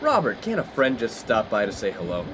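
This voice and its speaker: A man's voice: on the low side, wildly swooping pitch, fairly quick, noisy background. Man playing a brash, swooping college prankster. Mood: mock-formal, smug. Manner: pompous, wordy, playful. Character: brash, inappropriate young male college friend, mock-formal prankster. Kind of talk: 1950s-set comic radio play acting.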